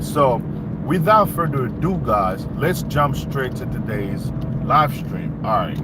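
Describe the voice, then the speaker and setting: A man from the Democratic Republic of Congo, chatting casually to livestream viewers and repeating phrases for emphasis. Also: mid-range voice. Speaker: livestream host. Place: inside a car while driving. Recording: loud car and road noise. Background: engine and traffic noise.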